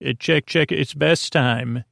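Creaky guy doing a bedtime podcast, rambles and wanders off, kinda goofy, really dry close sound. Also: on the high side, no room sound. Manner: meandering, halting, trailing off into tangents; goofy, gently silly. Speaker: host of a bedtime sleep podcast.

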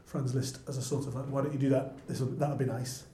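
A man's voice: mid-range voice, little pitch movement, brisk, mellow. A man co-hosting a podcast, explaining musical background in a hesitant, casual way. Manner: hesitant, explanatory, many restarts. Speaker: podcast co-host. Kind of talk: casual chat about choral music. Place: office room. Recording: echoey room.

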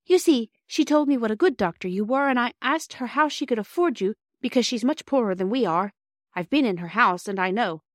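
A woman's voice: a little high, lively intonation, fairly quick, dry recording, studio quality, voice pushed up, lightly acted. A woman voicing an earnest, polite young girl in a storybook, hesitant and eager. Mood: anxious, earnest. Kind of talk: storybook character dialogue.

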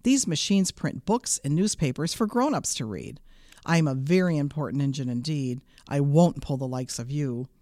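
A woman's voice: low-pitched, normal speed, dark tone, no echo, voice pushed down, lightly acted. Storybook role: big strong freight engine, played by a woman, haughty, bellowing, pompous, dry close sound. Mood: indignant, boastful.

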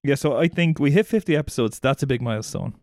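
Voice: medium pitch, fast, a little mellow, dry recording, clean studio sound. An Irish podcast co-host in casual banter, teasing and swearing freely.

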